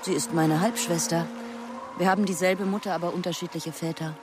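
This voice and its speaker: An actress playing a composed, guarded middle-aged doctor in a radio crime drama, answering in a measured, evasive manner. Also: female voice, fairly low pitch, fairly even intonation, over music, close to an everyday voice. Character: composed, guarded older half-sister, a female doctor.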